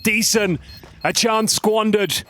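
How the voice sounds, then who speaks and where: A man's voice: high-pitched, takes it slowly, sharp tone. A man giving playful live play-by-play commentary on an esports match. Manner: chatty, playful, coins pet terms. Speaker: esports caster. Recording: clicks and game gunfire behind.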